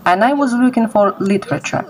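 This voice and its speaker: A woman's voice: fairly high pitch, a little fast. A young adult woman from Kazakhstan giving informal, explanatory answers as an interviewee. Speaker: interviewee, project creator.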